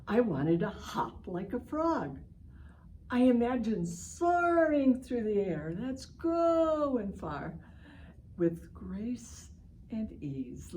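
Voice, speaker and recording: A woman's voice: a little high, dark tone, slight background noise. A woman reading a picture book aloud to children, with exaggerated emphasis, exclamations and simple asides to her young listeners. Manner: explains simply, addressing young listeners directly. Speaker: reading session leader for children.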